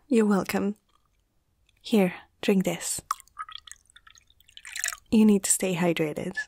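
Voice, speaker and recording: A woman playing a caring young female veterinarian in a soft, hushed ASMR roleplay, speaking gently and a little hesitantly. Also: mid-range voice, drawn-out words, husky, dry recording, exaggerated voice. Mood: focused, caring.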